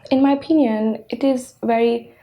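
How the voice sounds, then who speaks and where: A young woman with a Pakistani accent, a native Punjabi speaker, answering a mock speaking exam in halting sentences that restart mid-word. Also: on the high side, steady tone, dull audio. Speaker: test candidate.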